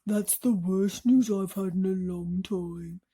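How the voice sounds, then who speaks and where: A woman voicing a gloomy male earthworm in a children's storybook, dramatic and given to drawn-out complaining. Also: medium pitch, steady tone, warm tone, breathy edge. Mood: glum. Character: gloomy male earthworm relishing others' misfortune.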